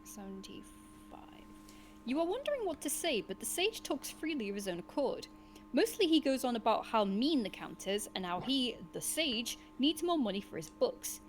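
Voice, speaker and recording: Animated high-voiced woman narrating a story. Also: normal speed, slight background noise. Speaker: host and narrator of a gamebook session. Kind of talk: expressive storybook narration with casual asides.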